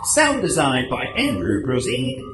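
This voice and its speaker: Animated, high-pitched male radio announcer. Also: unhurried, loud music behind the voice. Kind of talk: scripted old-time radio announcing. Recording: echoey stage recording, clear noise.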